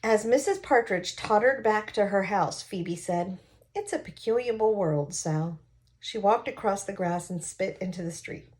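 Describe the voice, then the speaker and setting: Woman reading a novel aloud, mid-pitched. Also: moderate pace. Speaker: read-aloud narrator, explaining to listeners. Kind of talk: expressive novel read-aloud with asides.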